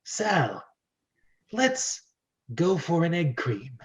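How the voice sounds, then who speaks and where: A man voicing a menacing gangster boss in a comic script reading, low, hushed and deliberate. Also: very animated, husky, heavily acted. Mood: quietly menacing. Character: menacing slow New York gangster boss, Big Eddie.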